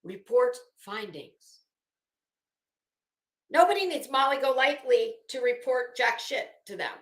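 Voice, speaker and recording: A woman's voice: a little high, animated, unhurried. A woman reading documents aloud dramatically, breaking off into sarcastic, brash, profane commentary as an online host. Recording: echoey room.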